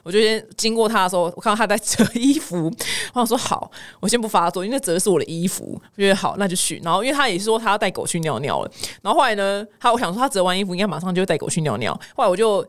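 A young woman with a Taiwanese Mandarin accent chats casually as a podcast host, telling stories in an animated, exclaiming way and acting out dialogue. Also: mid-range voice, racing. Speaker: podcast host of a weekly news show. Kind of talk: casual chatty storytelling.

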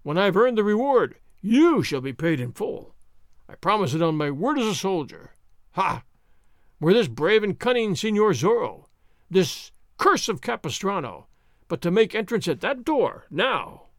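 A man playing a blustering, high-pitched bully sergeant. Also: sing-song, measured pace, no room sound, clean studio sound, heavily acted. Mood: boastful roaring. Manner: blustering, thundering, theatrical. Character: loud boastful bullying male army sergeant. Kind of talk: dramatized adventure storybook reading.

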